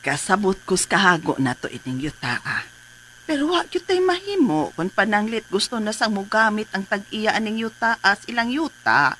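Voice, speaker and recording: A woman's voice: mid-range voice, very animated, moderate pace, sharp tone, a little hiss or hum. An actress playing a cautious, peace-keeping older farmer's wife and mother in a radio drama, with a Cebuano Filipino accent. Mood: resigned, pleading. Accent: Filipino, Cebuano-speaking.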